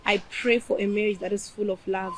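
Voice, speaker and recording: A woman's voice: medium pitch, steady tone, fairly quick. A woman in her twenties with a Zimbabwean accent, speaking emphatically as an interview guest and switching between English and Shona. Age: 20s. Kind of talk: casual interview.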